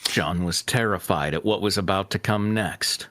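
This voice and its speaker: A man's voice: sits low, normal speed, fairly bright tone, no echo. A man reading fanfiction aloud as a host, breaking off for joking banter.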